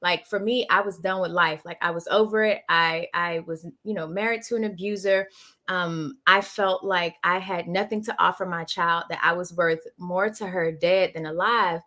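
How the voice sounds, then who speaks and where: A woman with a bright, forward voice, hosting a podcast. She speaks candidly and with feeling about her own life. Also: on the low side, steady tone, moderate pace. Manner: candid, emotional. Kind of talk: casual chat, personal story.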